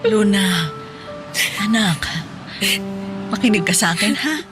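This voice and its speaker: A woman's voice: medium pitch, expressive, takes it slowly, music in the background. An actress playing a loving, playful middle-aged mother in a Tagalog radio drama, coaxing and reassuring. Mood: tender, earnest.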